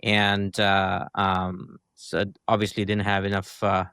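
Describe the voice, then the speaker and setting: A man's voice: lowish voice, completely flat delivery, takes it slowly, sharp tone, smooth, no echo, clean studio sound. A middle-aged man from India with an Indian accent, a guest talking through his story in long, loose sentences full of "right?" and "essentially". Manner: talks in long chains with many "uh", "right?" and "essentially". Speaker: guest, marketing agency founder. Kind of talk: casual interview conversation.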